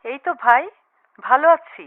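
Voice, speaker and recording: A woman playing a supportive, comforting older sister in a radio drama, animated and heard through a telephone filter. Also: high voice, normal speed. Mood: cheerful, curious. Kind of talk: Bengali radio drama acting. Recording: muffled telephone-line sound.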